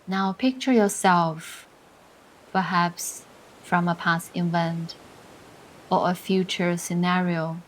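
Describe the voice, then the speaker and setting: A woman leading a scripted guided meditation in a soothing, deliberate way, pausing between short phrases. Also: lowish voice, steady tone, slight background noise, rough recording. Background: music.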